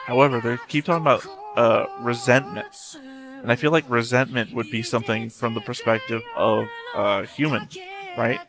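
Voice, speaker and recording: A man's voice: medium pitch, steady tone, normal speed, over music. A man hosting a reaction video, giving casual analytical commentary in a rambling, thinking-aloud way.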